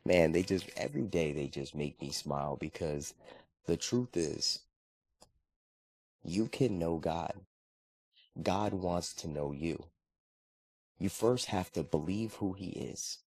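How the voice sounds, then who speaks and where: Man in his thirties, extremely deep voice, earnest, relaxed, casual vlog talk. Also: dry recording, low-quality recording. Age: 30s. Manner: earnest, relaxed, conversational with frequent restarts. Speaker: vlogger.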